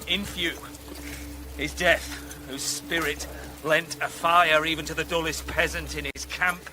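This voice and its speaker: An actor playing a loyal, shaken battlefield messenger in a Shakespearean radio drama, speaking formal verse earnestly. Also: male voice, on the high side, level tone, a little slow, natural voice. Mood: sorrowful, urgent. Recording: noisy ambience behind the voice.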